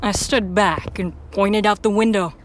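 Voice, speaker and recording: A woman's voice: mid-range voice, steady tone, a little slow. A woman reading scripted first-person story narration for an audio drama.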